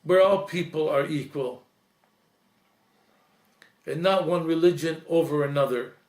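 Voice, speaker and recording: A man, a priest, giving an earnest, reflective talk, slowing and softening at emotional points. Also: on the high side, roomy sound. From Lebanese heritage.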